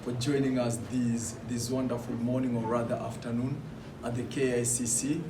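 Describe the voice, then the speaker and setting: A man who works as a DJ opens a press conference and introduces his guests formally, stumbling over a few words along the way. His voice is recorded from afar in an echoing hall, and loud background noise sits under it. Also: medium pitch, flat delivery, normal speed. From Kenya. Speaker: DJ and event host. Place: large indoor venue. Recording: echoey room, far mic, loud noise.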